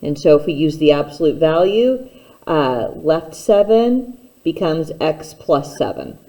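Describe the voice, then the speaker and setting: A woman's voice: low voice, big pitch swings, a little slow, warm tone. A woman teacher giving a step-by-step instructional math lesson, speaking plainly and patiently straight to the learner.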